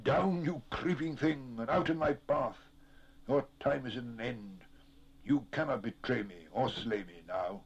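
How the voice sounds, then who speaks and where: A man's voice: on the low side, animated, measured pace, slightly rough, full character voice. A man voicing a stern, grave hero in a storybook reading, solemn and commanding. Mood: furious, gasping.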